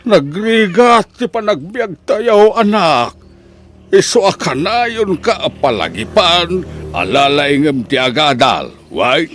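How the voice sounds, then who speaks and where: A man's voice: on the high side, big pitch swings, takes it slowly, fairly bright tone, heavily acted. A man with an Ilocano accent playing a poor, hardworking and hopeful farmer father in an audio drama. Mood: earnest, tender.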